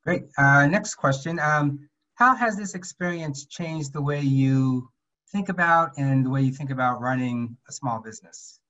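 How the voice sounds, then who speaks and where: A man's voice: medium pitch, unhurried, pushed and bright. A man moderating a video call Q&A, reading out questions in a brief, polite way with some fillers. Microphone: video call, webcam. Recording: dull lo-fi video call audio.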